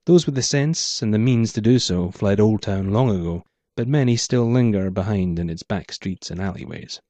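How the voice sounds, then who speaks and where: A man's voice: fairly low pitch, moderate pace, mellow, no echo. A man, the author, reading his own first-person noir story aloud in a hardboiled manner.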